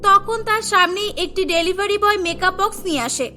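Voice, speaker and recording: A woman's voice: very high voice, fairly even intonation, brisk, pushed and bright. A woman narrating a scripted cartoon story as its storyteller. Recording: voice over prominent background music.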